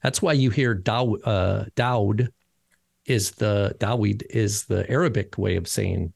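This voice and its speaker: A man's voice: fairly low pitch, slow, vocal fry, darkish tone, breathy edge, no room sound, studio quality. A man co-hosting a podcast who explains letters and sounds in a casual, lecturing way. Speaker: podcast co-host and teacher.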